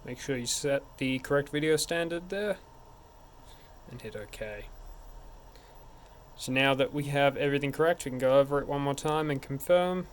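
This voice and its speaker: A man's voice: medium pitch, moderate pace, long pauses. Man giving a tutorial over background noise. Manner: informal, step-by-step explaining. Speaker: tech support instructor. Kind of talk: hands-on tutorial narration.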